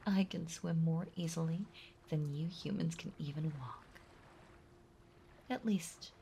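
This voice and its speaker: A woman playing a possessive, playful yet menacing mermaid in an intimate roleplay audio drama, speaking in a soft, breathy, halting and teasing way. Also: low-pitched, slight background noise. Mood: cheerful, reassuring. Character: possessive yandere mermaid, playful, sweetly menacing young female. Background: ocean ambience sound effects.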